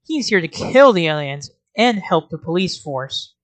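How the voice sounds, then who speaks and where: A woman's voice: lowish voice, sing-song, measured pace, no echo. A woman giving scripted video commentary as an anime reviewer, in an informal, sarcastic way.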